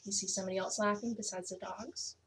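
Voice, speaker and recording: A woman's voice: mid-range voice, fairly even intonation, fast, background noise. A woman reading a children's picture book aloud expressively, stretching out key words for emphasis. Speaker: school technology coordinator reading to children.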